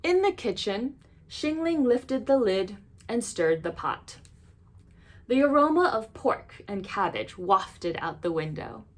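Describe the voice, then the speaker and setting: A woman reading a children's storybook aloud as an expressive narrator. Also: medium pitch, takes it slowly. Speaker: storybook reader.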